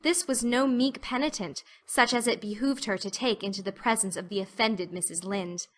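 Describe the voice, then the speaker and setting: A woman's voice: medium pitch, normal speed. A woman reading scripted audiobook narration of a story as its narrator.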